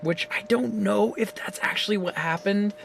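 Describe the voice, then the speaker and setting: A man's voice: high-pitched, moderate pace, creaky, a bit bright, slight background noise. A man recording himself on his phone camera at home, giving a casual, rambling and profane recap of a game's story, laughing often. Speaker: gaming video creator.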